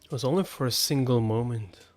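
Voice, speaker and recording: A man with a mid-pitched voice reads a story aloud as a live reaction host, with music behind him. He is expressive, moving between narration and casual, incredulous comments. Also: brisk. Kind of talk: live reaction read-along with casual asides. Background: background music.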